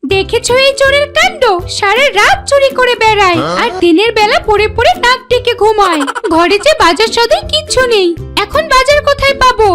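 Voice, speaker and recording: Casting: actress as short-tempered vixen mother for a kids' cartoon; very high, lively, scolding, faint music behind. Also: brisk. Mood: exasperated. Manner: shrill, nagging, exaggerated. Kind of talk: children's cartoon voice acting.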